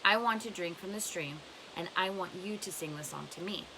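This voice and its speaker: A woman's voice: on the low side, normal speed, noisy background, natural voice. A woman reading a storybook as a blunt, scornful old woman who gives emphatic advice. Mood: firm, instructive. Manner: emphatic, questioning, instructive.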